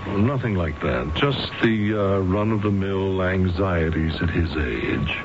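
Deep-voiced, placating psychiatrist. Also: male voice, measured pace, over music. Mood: dismissive, reassuring. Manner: hesitant, conversational, placating. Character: reassuring, evasive male psychiatrist. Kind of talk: radio drama acting. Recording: muffled noisy radio recording.

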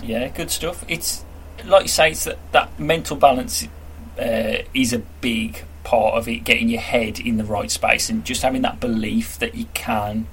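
A man's voice: mid-range voice, fairly even intonation, normal speed, a bit bright, slight background noise, poor audio. A man hosting a podcast interview, chatting casually and encouraging his guest with brief affirmations. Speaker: podcast host.

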